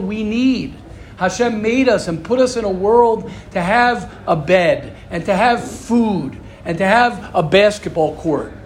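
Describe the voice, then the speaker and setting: High-voiced earnest Torah teacher, a man. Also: a little hiss or hum. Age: adult, married with kids. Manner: earnest, repeats phrases, rambling asides. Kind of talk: informal religious talk.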